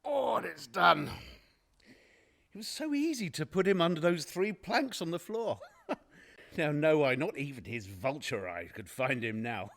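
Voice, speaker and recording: An actor playing a mad, obsessive, boastful murderer who narrates a radio play in a halting, theatrical way, moving between whispers and shouts. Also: male voice, a little high, lively intonation, gravelly, full character voice. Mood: manic, gloating. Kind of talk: dramatic radio play monologue. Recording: live-recorded radio play.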